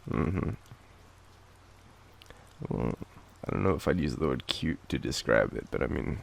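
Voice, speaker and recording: A young Australian man with a cold, coughing now and then, murmuring softly and teasingly in an intimate boyfriend roleplay. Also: extremely low pitch, vocal fry, a little hiss or hum. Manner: soft, drowsy, teasing murmur.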